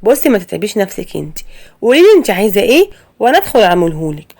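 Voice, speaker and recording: A woman with an Egyptian accent voicing a gentle, eager young wife, raising her voice higher. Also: very animated, rapid-fire. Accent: Egyptian Arabic. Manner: eager, higher-pitched, anxious.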